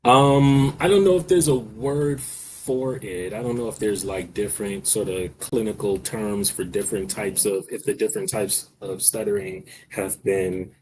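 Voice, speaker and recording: A man with a somewhat low voice talks through his experience in relaxed conversation. He stutters, restarting and repeating words often. A faint hiss sits under his voice. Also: normal speed, hardly any pauses, rough recording. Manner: person who stutters, with hesitations and repeated words. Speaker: guest speaker on stuttering. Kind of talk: casual conversational discussion.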